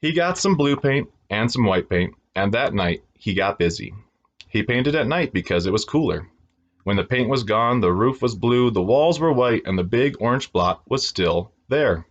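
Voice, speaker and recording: A man reading a children's storybook aloud in an expressive storytelling style for young listeners. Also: medium pitch, moderate pace, highs cut off.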